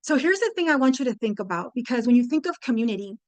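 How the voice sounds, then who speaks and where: A woman's voice: a little high, animated, quick pace, a little mellow, no echo. A woman in her fifties whose first language is Spanish, giving a chatty, upbeat webinar talk full of fillers and restarts. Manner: upbeat, chatty, lots of fillers and restarts, addresses "you guys". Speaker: guest speaker. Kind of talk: webinar presentation. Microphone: video call.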